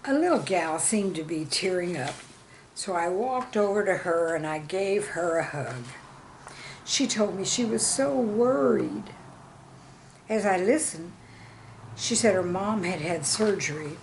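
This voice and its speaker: A woman reading scripted stories and verse as a channel host, with a measured, deliberate delivery. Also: lowish voice, expressive, a little hiss or hum, low-quality recording. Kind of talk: scripted storytelling and poem reading.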